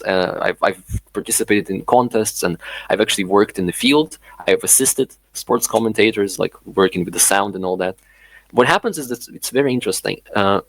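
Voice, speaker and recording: A man's voice: lowish voice, no room sound, rough recording. A man whose first language is Russian, talking casually as an interview guest, with many fillers and restarts. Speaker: guest, linguistics professor. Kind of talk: casual conversation.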